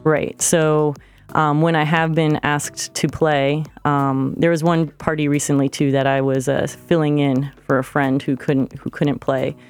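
A woman's voice: low voice, flat delivery, measured pace, clean tone, soft background music. A woman from Florida, a DJ guest in a casual radio studio interview, talking hesitantly with many fillers and restarts. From Florida, United States.

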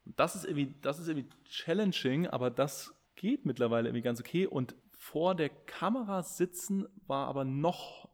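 A man's voice: fairly high pitch, expressive, moderate pace, hardly any pauses. A man co-hosting a podcast in casual chat, rambling with many fillers and restarts. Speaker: podcast co-host. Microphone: podcast microphone.

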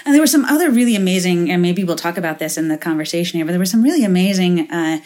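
A woman's voice: fairly low pitch, lively intonation, rapid-fire, a little mellow. A middle-aged woman from Finland, a venture capitalist guest in an interview, talking thoughtfully with many fillers and restarts. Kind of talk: interview conversation.

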